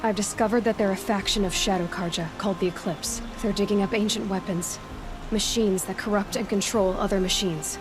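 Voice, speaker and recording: Young huntress, female actor, medium pitch, direct, guarded, no-nonsense game heroine over music. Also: fairly even intonation, fairly quick, lightly acted. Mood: serious. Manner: direct, plain-spoken. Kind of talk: game cutscene acting.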